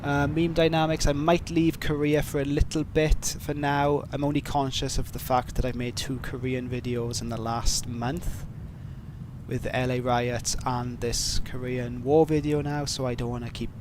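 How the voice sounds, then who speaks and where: A man's voice: mid-range voice, little pitch movement, normal speed, noisy background. A man with a heavy Welsh accent chatting casually on a livestream, reading and answering viewer questions in a rambling, self-deprecating way with many fillers. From Wales. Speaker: streamer.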